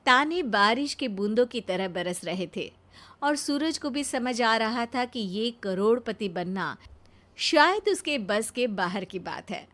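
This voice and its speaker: A woman narrating a scripted comic story in a wry, expressive storyteller's manner. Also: on the high side, a little slow.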